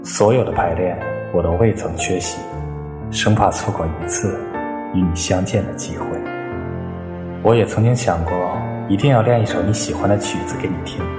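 A man's voice: low-pitched, normal speed, close to an everyday voice. A man acting a shy, tender, lovesick young male student in a radio drama monologue. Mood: tender longing. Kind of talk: scripted monologue, modern Chinese radio drama. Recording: echoey long reverb, loud music behind. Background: sad new-age music.

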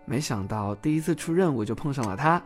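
A man's voice: medium pitch, lively intonation, brisk, soft background music, natural voice. A man playing a bashful, easily flustered but polite young policeman in an audio drama. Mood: polite, friendly. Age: young adult.

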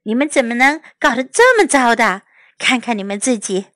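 A woman's voice: on the high side, sing-song, moderate pace, fairly bright tone, no echo, clean studio sound. A woman voicing a firm but good-humored mother in a children's storybook. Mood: cross. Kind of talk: children's storybook character reading.

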